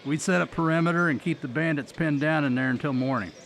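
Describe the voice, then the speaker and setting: An actor with an American accent playing a steady, tactical former army colonel in a radio drama. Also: male voice, on the high side, fast. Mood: calm, decisive.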